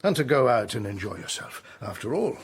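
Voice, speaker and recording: A man's voice: mid-range voice, sing-song, a little fast, slight background noise. An actor playing a pompous, domineering older father in a period radio drama, speaking emphatically. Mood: gruffly conciliatory.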